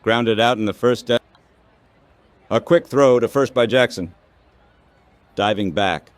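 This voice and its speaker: A man calls a baseball game play by play. He speaks very slowly in short, clipped phrases with measured pauses between them. His voice is recorded dry, with no room sound, in the manner of scripted radio commentary. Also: mid-range voice, no room sound.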